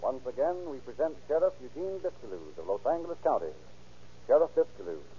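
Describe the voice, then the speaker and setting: A man announcing and narrating from a script in a formal, measured 1930s radio style. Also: medium pitch, sing-song, over music. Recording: dull 1930s radio recording.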